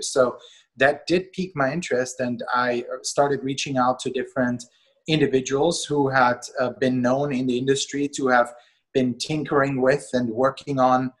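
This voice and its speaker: A middle-aged man from Austria, a company co-CEO, answering interview questions in a measured way with many fillers. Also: mid-range voice, slightly rough, rough recording. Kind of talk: interview conversation.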